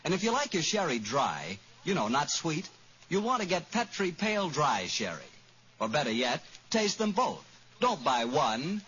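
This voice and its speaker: Male announcer, lively swinging intonation, polished vintage radio pitchman, muffled old recording. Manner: polished, salesmanlike. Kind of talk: scripted 1940s radio commercial and hosting.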